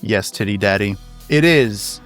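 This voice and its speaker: A man's voice: lowish voice, measured pace, fairly bright tone, soft background music. A man making casual, joking plugs to listeners as a podcast cast member. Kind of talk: casual, joking promotional chat.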